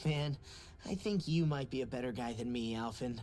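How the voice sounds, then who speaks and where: A man's voice: mid-range voice, unhurried, darkish tone, soft background music, close to an everyday voice. An actor voicing a brash but big-hearted young male fighter in a video game cutscene, talking in a casual, candid way.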